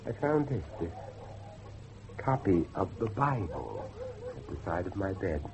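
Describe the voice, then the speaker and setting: An actor playing an older, devout, haunted Victorian father in a theatrical horror drama, speaking haltingly. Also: low-pitched, very animated, noisy background, exaggerated voice. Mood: grave, searching. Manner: theatrical, halting, repeats himself. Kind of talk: dramatic horror audio acting.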